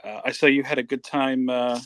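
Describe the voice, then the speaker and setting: A man's voice: mid-range voice, flat delivery, brisk, no echo. A man chatting casually as a panelist on a group livestream, rambling and wandering off into tangents. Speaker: panelist on a comics discussion stream. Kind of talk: casual chat.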